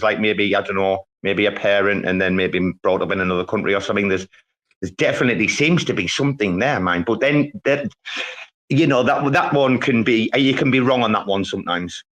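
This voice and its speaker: A man's voice: medium pitch, fairly bright tone. A man with a British accent hosting a casual chat in an enthusiastic, chatty way full of informal slang. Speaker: show host.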